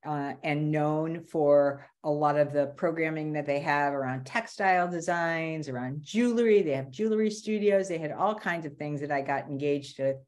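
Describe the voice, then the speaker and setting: A middle-aged woman with a notably low voice speaks on a video call from a room at home. She is a career strategist in casual conversation, animated and quick to restart a phrase. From Boston area, USA. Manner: animated, restarts and repeats words. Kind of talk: casual interview talk.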